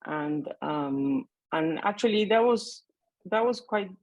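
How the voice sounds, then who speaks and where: Middle-aged woman, lowish voice, dry sound, chatty and halting, joining a panel by video call. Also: expressive, darkish tone, no room sound. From Argentina. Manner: conversational, hesitant with many fillers. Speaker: panelist, mathematician. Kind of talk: remote panel remarks.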